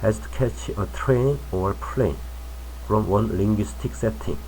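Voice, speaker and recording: Male narrator reading a feature article, low mellow voice, unhurried and careful delivery. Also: a little hiss or hum, low-quality recording. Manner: slow, careful, measured reading. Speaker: English news reader.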